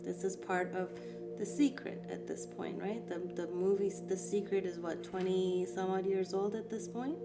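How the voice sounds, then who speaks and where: A woman whose first language is Farsi speaks as an art therapy teacher, calm and reflective with gentle emphasis. Her voice sits at a middle pitch. Clear music plays behind her throughout. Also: normal speed. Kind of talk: guided art lesson talk.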